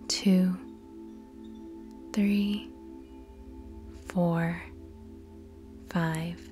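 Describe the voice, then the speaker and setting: A woman leads a guided meditation over clearly present music. Her delivery is extremely slow and measured, with pauses between instructions. The voice is soft and breathy, often slipping toward a whisper, and stays very even in pitch. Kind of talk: scripted guided meditation narration. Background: background music.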